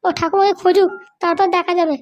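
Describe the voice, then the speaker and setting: A woman's voice: very high-pitched, fairly even intonation, quick pace. A woman voicing a bossy, scheming female election candidate in a comedy cartoon. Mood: dismissive. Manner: dismissive, assuring. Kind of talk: amateur comedy cartoon dub.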